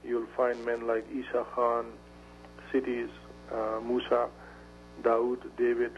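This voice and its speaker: A man, a guest in a radio interview, talking in halting phrases with many fillers and restarts. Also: mid-range voice, monotone. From Pashtun area of Pakistan. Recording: dull radio broadcast with faint hum.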